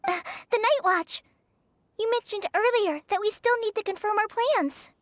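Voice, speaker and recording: A woman's voice: very high-pitched, normal speed, no room sound, studio quality. An actress playing a thoughtful young woman adventurer in a video game. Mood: reflective. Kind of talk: video game character acting.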